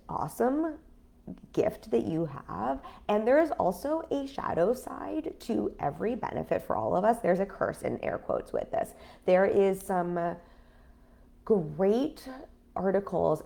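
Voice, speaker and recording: A woman in her thirties talking casually on a livestream as a business coach, her voice raspy after an illness, chatty with many fillers. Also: fairly low pitch, warm tone. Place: home in a city apartment.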